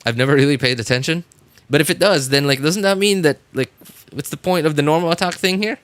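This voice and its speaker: A man's voice: on the high side, fast, fairly bright tone, no room sound. A man game livestreamer chatting casually, thinking aloud in a hesitant way and often repeating himself. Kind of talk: casual livestream chat while theorycrafting a game.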